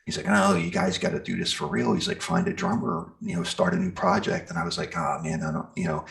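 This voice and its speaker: A man's voice: deep voice, expressive, brisk, gravelly, fairly bright tone. A middle-aged American man chatting casually in an interview as a musician, rambling a little and searching for words.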